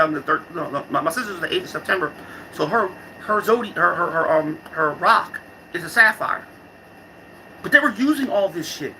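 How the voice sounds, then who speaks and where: A man's voice: high-pitched, gravelly, sharp tone, slight background noise, low-quality recording. A man giving a rambling, casual vlog monologue, irreverent, slangy and profane, often repeating and restarting his phrases. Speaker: vlogger.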